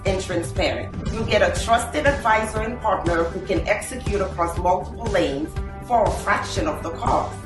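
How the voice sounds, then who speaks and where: A woman reads a scripted commercial in a measured, reassuring tone. She sounds set back from the mic in an echoey space, with clear music behind her. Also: medium pitch. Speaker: commercial voice-over. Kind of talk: scripted ad read. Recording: distant echoey read over music.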